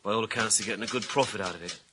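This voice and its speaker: An actor playing a cynical, grumbling junior British police detective in a radio thriller, talking bluntly and colloquially. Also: male voice, on the low side, racing, noisy background, close to an everyday voice. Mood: matter-of-fact, wry. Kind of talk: naturalistic radio thriller acting.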